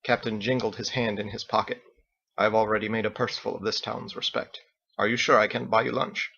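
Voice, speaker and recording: A man voicing a wry, smug and courtly male seafarer in an audiobook, with formal, unhurried phrasing. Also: lowish voice, flat delivery, vocal fry, sharp tone, dull audio, full character voice. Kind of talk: character voice in audiobook narration.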